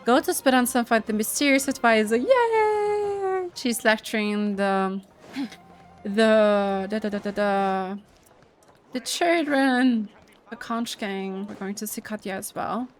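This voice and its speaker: Brief: young woman streamer, slow-paced, a bit high, gushy and giggly, soft game music under. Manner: gushing, giggly, thinks aloud with fillers. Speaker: gaming streamer. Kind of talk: casual gameplay commentary. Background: faint game music.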